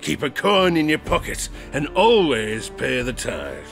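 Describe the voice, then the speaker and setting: Gravelly old sea dog for audio drama: salty, conspiratorial yarn-spinner, swooping from hushes to big swells, faint ambience behind. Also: male voice, mid-range voice, wildly swooping pitch, unhurried, faint music behind, full character voice. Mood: ominous. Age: older. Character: old one-legged sailor Lars, spinning dark sea tales. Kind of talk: theatrical storytelling in an audio drama.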